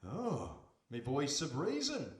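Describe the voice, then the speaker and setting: Man as a high-voiced bemused fisherman, roomy. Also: wildly swooping pitch, takes it slowly, exaggerated voice. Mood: exasperated. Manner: bemused, grumbling, incredulous. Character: poor, sceptical, easily exasperated married fisherman. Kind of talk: comic audio story acting. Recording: roomy echo, faint noise.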